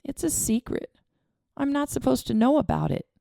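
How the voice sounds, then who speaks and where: Woman doing a young grieving girl, high and shaky, stammering through tears; storybook read-aloud. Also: sing-song, normal speed, gravelly, dark tone, dry recording, clean studio sound, full character voice. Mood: tearful.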